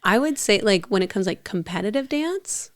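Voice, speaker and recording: A woman's voice: medium pitch, expressive, moderate pace. A woman co-hosting a podcast in casual chat, talking informally and peppering it with "like". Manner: informal, frequent "like" as filler. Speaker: podcast co-host.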